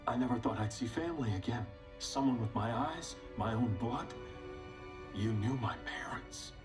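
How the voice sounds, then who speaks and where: A man's voice: mid-range voice, moderate pace, music in the background, lightly acted. An actor playing a proud, grave male alien superhero with an earnest, intense way of speaking in a game cutscene. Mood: moved, earnest. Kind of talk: video game cutscene acting.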